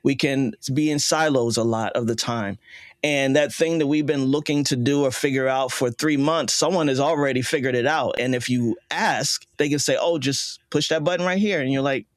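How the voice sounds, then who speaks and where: A man's voice: mid-range voice, normal speed, no echo. A man speaking as a podcast guest in a conversational interview, encouraging and emphatic. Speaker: podcast guest, independent audio creator.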